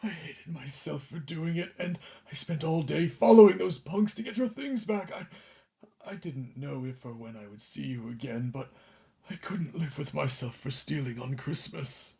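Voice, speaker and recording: A man playing a washed-up older children's TV entertainer in a radio play, contrite and theatrical, with a creaky voice. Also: a little high, lively intonation, warm tone. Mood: pleading, remorseful. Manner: dramatic, halting pleading and grand showman delivery.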